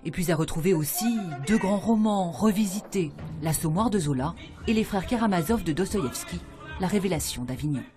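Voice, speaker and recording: Lower-voiced woman narrating a report. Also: expressive, fairly quick, music in the background. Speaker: news reporter. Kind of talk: scripted voice-over narration.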